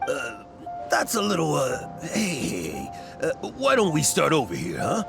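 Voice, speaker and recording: Cowardly game bandit boss, fairly high gravelly voice, shifty, stammering, wheedling. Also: male voice, wildly swooping pitch, a little slow, exaggerated voice. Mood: nervous wheedling. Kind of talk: animated video game voice acting. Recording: loud game music behind.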